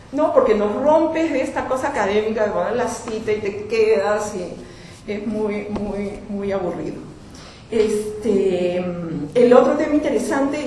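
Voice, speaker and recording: A woman with a Peruvian accent commenting on a book at a public panel, speaking in a measured way and pausing to think aloud. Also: mid-range voice, dark tone. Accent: Peruvian Spanish. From Peru. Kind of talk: spoken commentary at a public event. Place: institute event hall. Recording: very echoey lecture room, faint noise.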